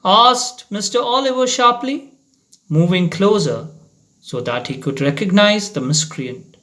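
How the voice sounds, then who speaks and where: A man reads a short story aloud with deliberate drama. His pace is slow, and his somewhat high voice moves through a very lively range of pitch. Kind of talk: expressive short story reading.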